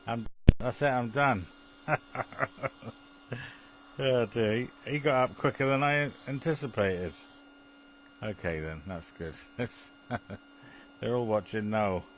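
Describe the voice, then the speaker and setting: A man's voice: medium pitch, takes it slowly, long pauses, a little hiss or hum, poor audio. A British man in a rambling, chuckly casual chat, often muttering to himself as he operates an amateur television link.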